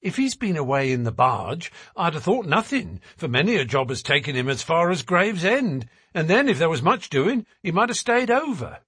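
Stout boatman's wife, played by a man: high, swooping delivery, garrulous and fretful, colloquial and deferential, for an audiobook. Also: very animated, moderate pace, few pauses, a bit bright, husky, no room sound, clean studio sound, heavily acted. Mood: worried. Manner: chatty and colloquial, deferential with frequent "sir". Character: working-class boatman's wife, stout, scolding, anxious, garrulous. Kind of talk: audiobook character voice.

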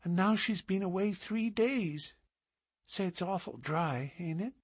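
A small girl of five, earnest and innocent, speaking in a hushed, serious lilt with a husky edge, as a man reading a story puts her on. She sounds far higher than an adult, in a dry close recording. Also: expressive, measured pace, no echo, heavily acted. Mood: grave. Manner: soft, hushed, grave childish lilt.

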